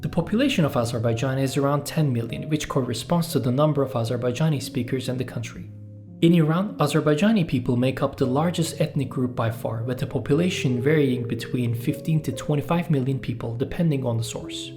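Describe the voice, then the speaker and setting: A man with a Turkish accent, a native Turkish speaker, reading a scripted explainer narration in a measured, steady way. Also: mid-range voice. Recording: music bed under the voice.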